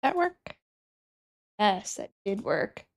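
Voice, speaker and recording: A woman's voice: medium pitch, sing-song, slow pace, gravelly. A young woman streamer who is sick and sniffling, chatting casually with her chat and thinking aloud as she works.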